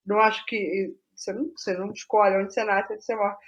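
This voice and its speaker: A middle-aged Brazilian woman speaking as an interview guest, reflective and halting, qualifying her own words as she goes. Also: mid-range voice, flat delivery, highs cut off. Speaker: guest playwright.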